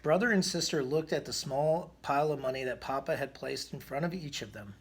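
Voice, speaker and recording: A man reading a children's picture book aloud in an expressive way, with the odd stumble. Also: mid-range voice, brisk, husky. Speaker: storybook reader.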